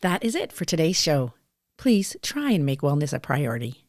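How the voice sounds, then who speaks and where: Lively middle-aged Canadian woman. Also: deep voice, moderate pace. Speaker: podcast co-host. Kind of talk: casual chat.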